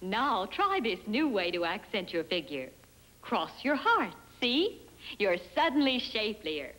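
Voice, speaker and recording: A woman delivers a scripted sales pitch for a vintage sixties television commercial. Her middle-pitched voice moves up and down with great liveliness. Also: big pitch swings, a little slow, background noise. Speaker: commercial presenter. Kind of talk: 1960s TV advertisement pitch.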